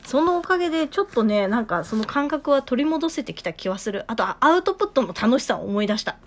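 Lively high-voiced woman chatting. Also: quick pace. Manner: expressive, animated storytelling. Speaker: radio talk co-host. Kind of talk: casual chat and anecdotes.

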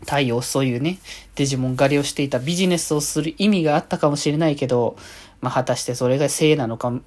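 A man hosting a solo podcast, chatting casually through his thoughts on an anime episode in a polite but conversational way, often trailing off into soft sentence-end particles. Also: medium pitch, fast talker.

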